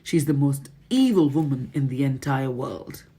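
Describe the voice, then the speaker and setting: A woman voicing an elderly grandmother, calm and affectionate, in a storybook reading. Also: rumbling low, moderate pace, slightly rough, heavily acted. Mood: tender. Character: tender, cool-headed elderly grandmother.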